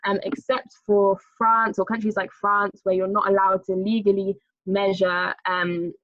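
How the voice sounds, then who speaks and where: A young woman from the UK co-hosting an online seminar, presenting statistics in a clear, steady way. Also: mid-range voice, measured pace, dry recording, dull audio. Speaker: seminar co-host. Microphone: video call.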